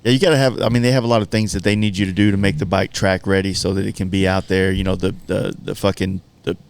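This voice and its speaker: A man's voice: low voice, steady tone, fast, clean tone. A man hosting a podcast, chatting casually in a slangy, laid-back way with some swearing. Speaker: podcast host.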